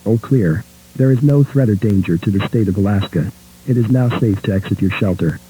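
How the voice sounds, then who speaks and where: A man's voice: on the low side, brisk, background noise. A man reading a scripted broadcast announcement as a formal, impersonal alert announcer.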